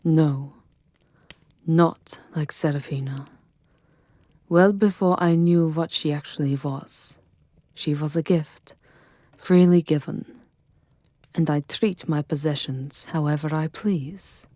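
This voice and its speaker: A woman's voice: deep voice, mellow, dry recording, clean studio sound. A woman playing an ancient, possessive vampire lover in an intimate ASMR roleplay, haughty and often whispering. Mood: cold, dismissive. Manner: slow, breathy, haughty, often dropping to a whisper.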